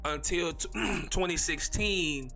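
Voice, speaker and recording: Fast-talking man with a stutter. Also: a little high, vocal fry, pushed and bright, soft background music. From North Carolina, USA. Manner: rambling, slangy, fast talker with a stutter. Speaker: podcast host. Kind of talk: casual solo podcast monologue.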